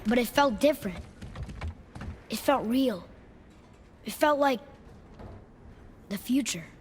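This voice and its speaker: A man's voice: extremely high pitch, animated, takes it slowly, slight background noise, heavily acted. A young male actor playing an earnest, curious boy in a video game. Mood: uneasy. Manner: earnest, youthful, casual. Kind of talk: video game cutscene acting.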